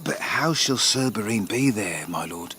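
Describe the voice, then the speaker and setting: A furtive, obedient manservant speaks with deference and a widely moving pitch. It is classical radio drama, with faint ambience behind the voice. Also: male voice, animated, unhurried, pushed and bright, slight background noise. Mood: deferential, uneasy. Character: obedient, furtive servant and henchman of a lord.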